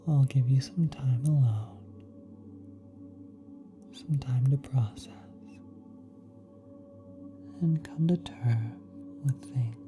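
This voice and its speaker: A woman voicing a kind, nurturing celestial being in a soft, breathy, often whispered ASMR roleplay, gently reassuring the listener. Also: rumbling low, takes it slowly, pauses often. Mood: soothing, reassuring. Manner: gentle, reassuring, hesitant, coaxing. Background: spacey ambient music.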